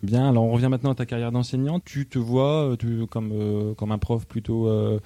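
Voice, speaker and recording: A man co-hosting an interview, with quick, chatty follow-up questions. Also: mid-range voice, fairly even intonation, dark tone, soft background music. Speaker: school show co-host.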